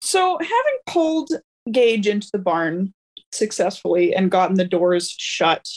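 A woman's voice: medium pitch, sing-song, a little slow, darkish tone. A woman playing a tabletop roleplaying game, chatting casually as she explains what her character does.

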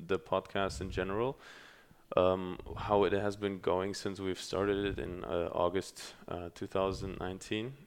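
A man from Germany hosting a podcast, speaking in a halting way with many pauses and restarts. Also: low voice, flat delivery, clean tone. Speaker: podcast host. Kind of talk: casual podcast chat.

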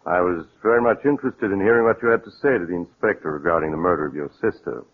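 An actor playing a shrewd, relentless police doctor in a 1940s radio drama, questioning with deliberate, probing pauses. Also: male voice, sits low. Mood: politely probing. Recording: dull old radio broadcast.

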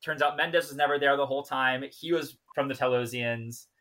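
Guy cohosting a podcast, bright clear-cut voice, chatty recap w/ wry asides. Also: medium pitch, a little fast. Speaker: podcast co-host. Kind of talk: casual chat and episode recap.

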